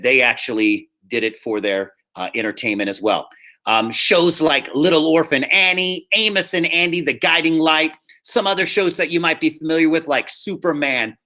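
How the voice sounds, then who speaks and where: A man teaching a high school theater class online, explaining slides in a conversational, repetitive way. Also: pushed and bright. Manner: conversational and explanatory, often repeats himself. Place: live online class over video call.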